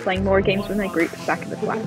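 A young woman in her twenties speaks as a student vlogger, in a casual narrating style at a medium pitch. Music plays clearly behind her voice. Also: steady tone, fast talker. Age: 20s. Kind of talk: vlog narration and casual chat to camera.

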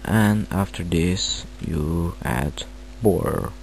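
A man with a Romanian accent, not a native English speaker, halting through a screen-recorded software tutorial with many fillers. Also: low voice, a little hiss or hum. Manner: hesitant, halting, filler-heavy. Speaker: tutorial maker.

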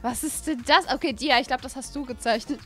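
A woman's voice: sits high, brisk, faint music behind. A young woman in casual game chat, repeating herself as she explains.

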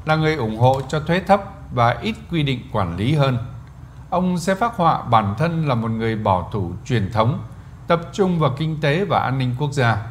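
A man's voice: mid-range voice, very animated, moderate pace, slight background noise. A man reading a news voice-over in a plain way, with a small filler now and then. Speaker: news reporter.

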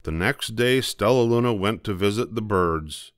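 A man's voice: lowish voice, normal speed, no room sound, studio quality. An older man narrating a scripted children's storybook.

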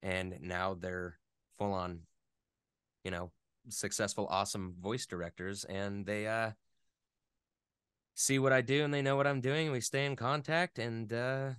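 A man with a clean, fairly low voice talks as a guest in a casual interview. He rambles in an animated, enthusiastic way. The sound is dry, with very little room around it. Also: a little slow, long pauses, clean tone, no echo.

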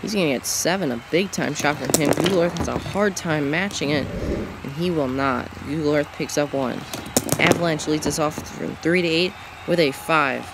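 Excitable man doing play-by-play. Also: on the high side, normal speed. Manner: excitable, rising on big moments. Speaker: marble race commentator. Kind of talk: play-by-play commentary. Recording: loud background noise.

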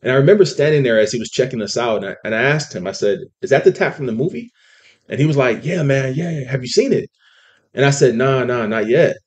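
A man's voice: mid-range voice, animated, fast. A man giving a casual sermon-style commentary, emphatic, often saying "okay" and "see" as he makes his point. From California. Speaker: online preacher.

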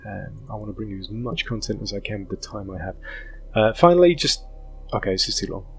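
Hoarse gamer, rambling. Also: male voice, fairly low pitch, fairly quick, fairly bright tone, soft background music. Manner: rambling, self-interrupting, full of ums. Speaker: gaming channel host. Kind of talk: casual let's play commentary.

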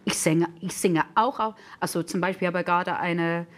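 A woman's voice: lowish voice, steady tone, moderate pace. A middle-aged woman singer chatting fluently with fillers as a talk show guest in a TV studio. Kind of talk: casual chat.

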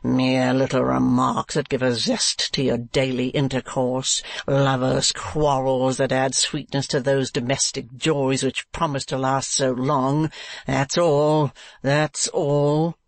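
A reader voicing a cold, scornful older man in a classic novel, with a rough, harsh voice and bitter, sardonic delivery. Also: mid-range voice, flat delivery, slow, no echo. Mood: bitter sarcasm. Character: cold, scornful, grasping older male uncle. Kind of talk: classic novel audiobook character.

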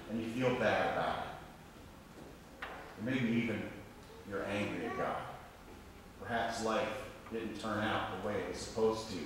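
A middle-aged man, a pastor, preaching earnestly and deliberately from a church lectern. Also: mid-range voice, a bit bright. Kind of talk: sermon. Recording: echoey church, distant mic, faint noise.

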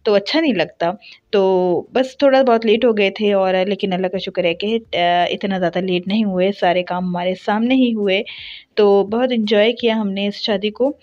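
A woman's voice: mid-range voice, steady tone, normal speed, darkish tone, lo-fi sound. A woman in her thirties from Pakistan, chatting casually to her vlog audience with lots of fillers and self-corrections. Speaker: family vlogger.

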